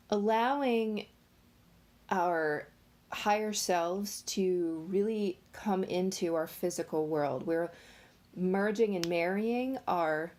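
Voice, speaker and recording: A woman giving a casual tarot reading, talking in a nasal, congested voice with many pauses and fillers. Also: medium pitch, darkish tone. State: congested from allergies. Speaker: tarot reader.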